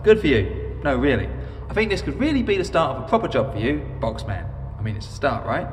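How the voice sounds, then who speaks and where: A man's voice: medium pitch, big pitch swings, quick pace, slight background noise, natural voice. A man playing a chirpy, teasing British best mate, mock-encouraging, in a comedy audio drama. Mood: sarcastic.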